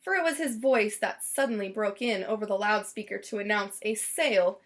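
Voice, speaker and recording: A woman's voice: medium pitch, normal speed. A woman reading a children's story aloud expressively, stretching and stressing words for drama. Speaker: storybook reader.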